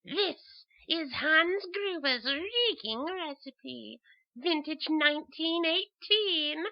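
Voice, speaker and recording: A man voicing a gleeful old witch who hosts horror tales, drawing out words in a creaky, cackly voice. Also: very high-pitched, expressive, no echo, muffled recording, pitched above the performer's own voice, full character voice. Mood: ghoulish glee. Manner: slow, relishing, alliterative. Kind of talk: hammy horror storytelling.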